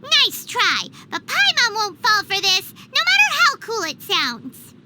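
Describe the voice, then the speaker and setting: A woman voicing a tiny childlike floating companion in a video game, squeaky, cutesy, excitable and easily offended. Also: unhurried, very noisy, exaggerated voice. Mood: indignant, flustered. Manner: cutesy, refers to self in third person.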